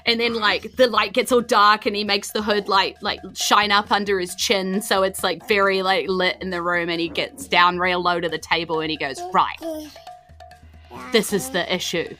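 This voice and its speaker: A woman's voice: medium pitch, flat delivery, normal speed, hardly any pauses, sharp tone, soft background music. A woman, narrating a tabletop game as game master in an informal way. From New Zealand.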